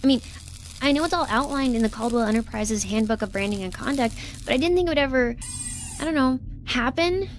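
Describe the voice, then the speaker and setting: A woman's voice: sits high, a little fast, natural voice, poor audio. An actress playing an earnest, literal-minded young woman researcher in a sci-fi audio drama, speaking conversationally and a bit hesitantly. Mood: uneasy. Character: earnest, awkward young woman researcher defecting from corporate ship. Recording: clear ambient noise behind.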